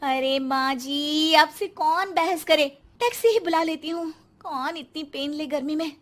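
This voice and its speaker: A woman's voice: high-pitched, unhurried. A woman voicing a spoiled, snobbish rich young bride in a cartoon story, haughty and dismissive.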